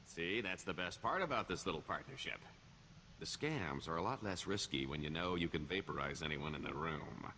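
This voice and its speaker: A man's voice: low-pitched, lively intonation, normal speed, background noise. A man playing a roguish middle-aged career criminal in a video game, wry and boastful, with a wheedling, theatrical sarcasm. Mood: scheming.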